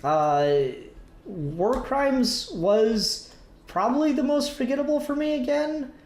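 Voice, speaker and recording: A man hosting a casual review discussion, speaking haltingly and searching for words as he works through his points. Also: extremely high pitch, expressive, darkish tone, a little hiss or hum. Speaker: podcast host discussing comics. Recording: roomy echo, faint music behind.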